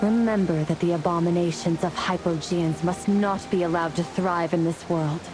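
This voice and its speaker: A solemn celestial warrior woman voiced by an actress speaks in a slightly low, formal, declamatory voice. She sounds resolute, as a fantasy game heroine does. Music plays behind her with rain. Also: steady tone, moderate pace, natural voice, low-quality recording. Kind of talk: scripted fantasy game voice acting.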